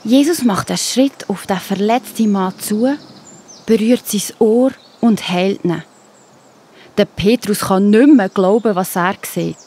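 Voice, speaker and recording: A woman with a Swiss German accent narrating a children's Bible story, addressing young listeners directly in an expressive storytelling manner. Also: medium pitch, unhurried, slightly rough.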